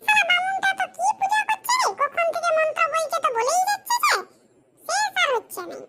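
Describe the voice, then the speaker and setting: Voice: very high-pitched, brisk, loud music behind the voice. A performer voicing a cheeky, grumbling village boy with a rural West Bengal accent in a comedy cartoon. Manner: brash, grumbling colloquial banter. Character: cheeky, mischievous poor village boys with squeaky voices.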